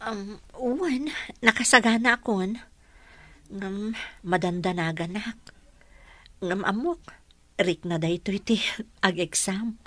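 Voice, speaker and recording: An actress playing an anxious, grateful young wife in a Filipino radio drama, earnest and emotive. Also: female voice, mid-range voice, slow, long pauses, a bit bright, no room sound. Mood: worried, grateful. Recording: dry studio, music underneath.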